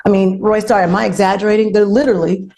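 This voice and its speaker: A woman's voice: medium pitch, fairly even intonation, quick pace, warm tone. A woman hosting an unscripted panel discussion, speaking earnestly and repeating points for emphasis. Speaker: panel host.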